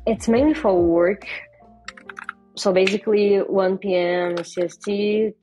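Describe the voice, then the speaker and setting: A woman's voice: medium pitch, unhurried, dark tone, soft background music, poor audio. A young woman with a Brazilian accent and native Portuguese, explaining things casually as an interview guest on a video call. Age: young adult. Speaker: interview guest, software designer. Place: small home bedroom, indoors.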